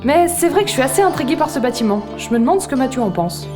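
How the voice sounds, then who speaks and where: A woman plays a composed young thief with a teasing streak in an audio drama. She speaks calmly in a fairly high voice, with soft music underneath. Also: fast talker. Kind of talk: French audio drama acting.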